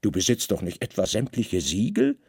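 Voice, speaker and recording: A man playing a weary, brooding Roman governor with a sharp, commanding mind, in a literary radio drama. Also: lowish voice, huge pitch swings, moderate pace, creaky, breathy edge, no echo, studio quality, heavily acted. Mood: wry, curious.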